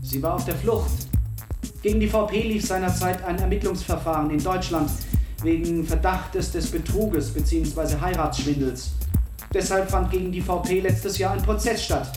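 A man's voice: high-pitched, moderate pace. A man speaking as a police detective, guarded and bureaucratic. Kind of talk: formal official statement. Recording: echoey room with music behind.

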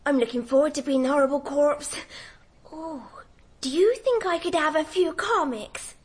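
A woman's voice: high voice, expressive, moderate pace. An actress playing a blunt, nosy, ghoulish English teenage girl in a radio drama. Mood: eager, morbid.

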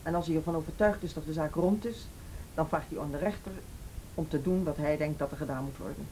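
An actress in a radio play playing a stern, unflinching police interrogator, blunt, deliberate and accusing. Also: female voice, deep voice, mellow, close to an everyday voice. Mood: cool, firm. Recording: faintly noisy radio drama recording.

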